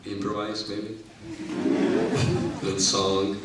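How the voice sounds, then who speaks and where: A man's voice: on the low side, monotone, slow, a bit bright. A man hosting a casual unscripted interview in front of an audience, hesitant and tentative as he speaks. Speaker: talk show host. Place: echoey room with an audience. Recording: echoey room, distant mic, faint noise.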